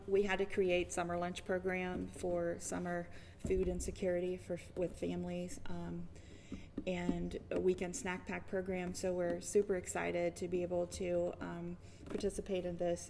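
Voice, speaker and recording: A woman's voice: on the low side, vocal fry, a little mellow, a little hiss or hum. A woman from a food pantry giving an upbeat short speech with a few fillers. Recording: reverberant hall, faint ambience.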